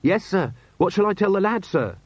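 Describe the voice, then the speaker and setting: Man doing an earnest, raised-pitch young officer. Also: very animated, moderate pace, husky, dry recording, exaggerated voice. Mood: earnest, respectful. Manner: respectful, proper, matter-of-fact. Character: earnest, dutiful, literal-minded young watch officer.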